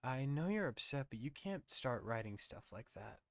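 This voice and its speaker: A man's voice: medium pitch, sing-song, normal speed, a bit bright, dry recording, clean studio sound. A man voicing a worried woman office manager in a horror story, earnest, careful and pleading.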